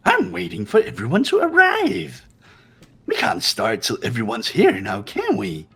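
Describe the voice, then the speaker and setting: A man's voice: a little high, wildly swooping pitch, unhurried, vocal fry, bright, forceful tone, slightly rough, pitched above the performer's own voice, heavily acted. A man voicing a mischievous, menacing robotic bear mascot in a theatrical fan-dubbed game. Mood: mock indignant. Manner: theatrical, mock-indignant. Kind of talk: fan-dubbed visual novel game acting.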